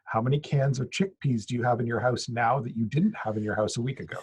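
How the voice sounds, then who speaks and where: A middle-aged man co-hosting a podcast, casually presenting news in a halting, wry way. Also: medium pitch, breathy edge. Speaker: podcast co-host.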